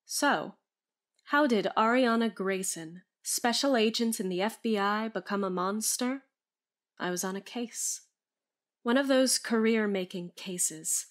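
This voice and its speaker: A woman's voice: on the high side, a little slow, close to an everyday voice. A woman playing an anguished vampire, a former FBI agent, confiding her curse as scripted gothic narration. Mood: confiding, ominous.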